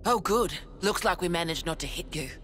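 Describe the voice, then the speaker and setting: Woman doing a dry matter-of-fact game commander, lowish voice. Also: animated, a little fast, background noise, lightly acted. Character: steady woman squad commander. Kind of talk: video game voice acting.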